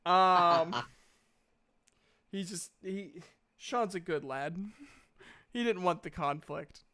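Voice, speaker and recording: A man narrating a tabletop game as game master, improvising the story in a casual, halting way. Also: high voice, sharp tone.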